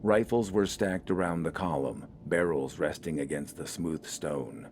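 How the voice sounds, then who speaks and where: A man's voice: extremely low pitch, unhurried, darkish tone, a little hiss or hum. A man reading scripted fiction as the narrator of an audio story. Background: faint music.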